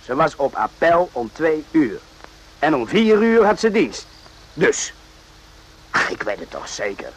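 A man's voice: fairly high pitch, big pitch swings, unhurried, long pauses, breathy edge, heavily acted, low-quality recording. A man acting in a radio drama as an evasive, boastful, naive army private, hesitant and repeating himself. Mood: flustered, evasive.

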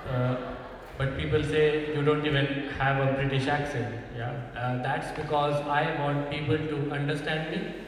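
A man's voice: a little high, little pitch movement, normal speed. A young man from North India with an Indian accent performs stand-up comedy, bantering with the audience in a dry, teasing way. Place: echoing church hall at a festival. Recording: distant mic, very echoey hall, audience noise.

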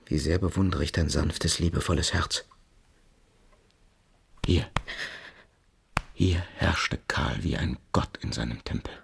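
An actor playing a scheming, flattering younger brother in a German radio play, insinuating and theatrical, often whispering. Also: male voice, rumbling low, takes it slowly, vocal fry, long pauses, breathy edge, exaggerated voice. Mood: insinuating, hushed.

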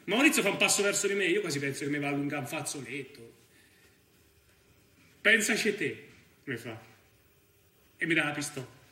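An actor playing an anxious young Roman militant in a theatrical monologue, halting and colloquial, with a Roman accent. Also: male voice, on the high side, animated, sharp tone. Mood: tense. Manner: halting, repeating himself, colloquial. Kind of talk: theatrical first-person stage monologue. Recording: roomy stage sound, distant mic, faint noise.

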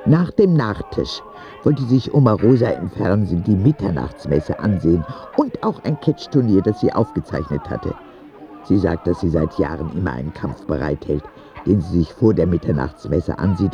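A man's voice: low voice, gravelly, warm tone, highs cut off, full character voice. A man playing a frank young boy in a radio drama, narrating his letters in an earnest, matter-of-fact way. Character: frank, childlike ten-year-old boy writing letters to God.

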